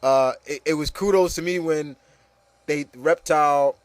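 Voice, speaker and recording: A man's voice: fairly high pitch, measured pace, no room sound. A man hosting a casual panel chat about movies, talking in a rambling, enthusiastic way and often saying "you know". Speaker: host of an opinion show.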